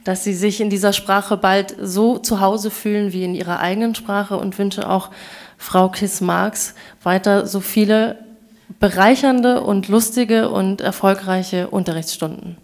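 A woman hosts a public event and speaks in a formal, courteous register. She works through a long round of thanks and good wishes, pausing now and then. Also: medium pitch, fairly even intonation, darkish tone. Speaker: event host.

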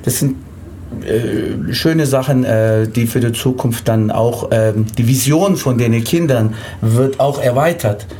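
A middle-aged man speaks as an interview guest. His voice is mid-pitched and soft-edged, and he halts and repeats words as he recounts personal memories. Faint noise sits in the background. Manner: hesitant, halting, repeats words. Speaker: interview guest, amateur actor. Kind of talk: conversational personal storytelling.

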